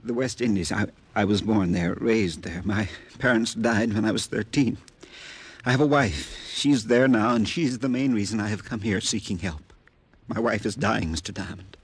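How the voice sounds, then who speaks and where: An actor playing an ailing, earnest farmer seeking help in a 1950s radio drama, halting, polite and pleading. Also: male voice, lowish voice, husky. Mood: weak, pleading. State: weak and ailing, as acted.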